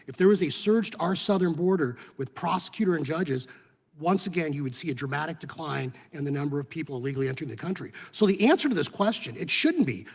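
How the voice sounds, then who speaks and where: A man's voice: fairly high pitch, gravelly, husky. A man whose first language was Serbo-Croatian, giving emphatic formal testimony as a witness at a congressional hearing. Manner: emphatic, argumentative. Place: large hearing room. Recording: hearing room with long reverb.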